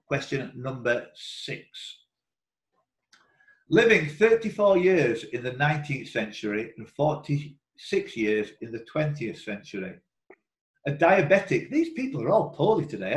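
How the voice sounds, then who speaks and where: A man hosting an online quiz, reading out questions in a chatty, informal way and breaking off into asides. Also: mid-range voice. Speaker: quiz host and question reader. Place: indoor room, online video call. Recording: roomy echo.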